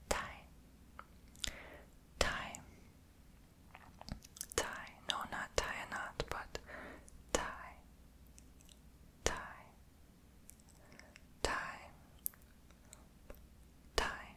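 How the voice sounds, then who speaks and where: Chatty whispering woman doing ASMR. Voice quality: says she is tongue-tied and makes her r in the throat. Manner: soft unvoiced whisper, chatty and rambling. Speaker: ASMR creator.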